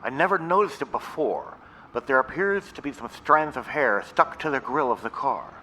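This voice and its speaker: An actor playing an earnest, conspiratorial young vampire-hunting sidekick in an audio drama. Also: male voice, mid-range voice, expressive, moderate pace, very noisy, natural voice. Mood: hushed excitement.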